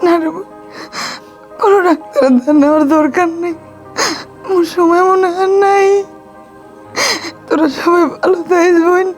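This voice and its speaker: A woman's voice: high-pitched, moderate pace, long pauses, dark tone, faint music behind. A woman playing an ailing, despairing elder sister in a drama, pleading tearfully in a Barisal accent from southern Bangladesh. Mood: tearful, weary. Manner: plaintive, pleading. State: crying. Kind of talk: emotional drama acting.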